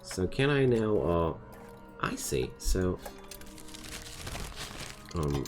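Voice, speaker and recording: A man's voice: deep voice, very animated, very slow. A man with a German accent giving casual gameplay commentary, thinking aloud as he plays. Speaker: gaming video creator. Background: music.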